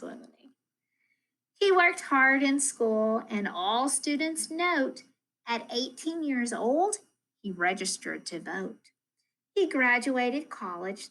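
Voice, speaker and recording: A woman reading a children's storybook aloud as a school teacher, in an expressive, deliberate manner addressed to young listeners. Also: a little high, poor audio. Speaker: elementary school math coach reading to pupils.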